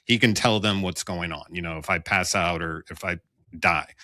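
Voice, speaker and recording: A man's voice: low-pitched, fairly even intonation, moderate pace, sharp tone, no room sound. An American man telling a personal story conversationally, in a candid and wry way. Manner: candid, wry, acts out dialogue in his account. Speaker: police officer telling his own story as podcast guest.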